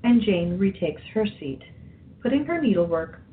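A woman's voice: medium pitch, animated, normal speed, slight background noise. A woman narrating a scripted radio play, reading the scene and action as a story. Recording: dull lo-fi, music behind.

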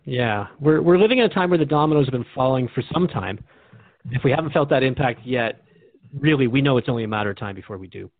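A man's voice: medium pitch, lively intonation, quick pace, dry recording. Reflective man, dry phone-like sound. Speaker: radio show host. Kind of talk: conversational radio drama dialogue.

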